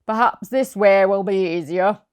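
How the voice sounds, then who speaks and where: A woman voicing a brisk, matter-of-fact male vet in a storybook, speaking emphatically. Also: medium pitch, darkish tone, smooth, natural voice. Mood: businesslike.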